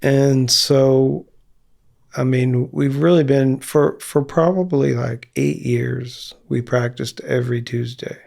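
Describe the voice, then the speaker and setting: Man, 50s, gravelly voice, rambling casual talk, studio interview guest. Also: medium pitch, steady tone, takes it slowly, a little mellow. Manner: rambling, lots of fillers and restarts. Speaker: guest musician, singer in a band. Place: recording studio.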